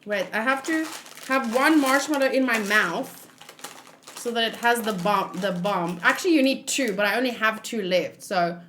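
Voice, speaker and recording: A woman hosting a read-along in a casual, expressive and giggly reaction style. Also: fairly high pitch, normal speed, slight background noise. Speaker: host of a manga read-along.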